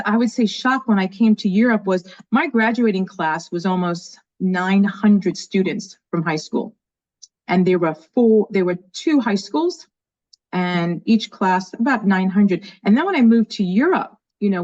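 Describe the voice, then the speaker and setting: A woman's voice: mid-range voice, expressive, moderate pace, darkish tone. A woman in her fifties from New York with a light New York accent, chatting enthusiastically as an interview guest. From New York, USA. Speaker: guest, education leader. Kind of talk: casual interview. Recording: dull lo-fi call audio.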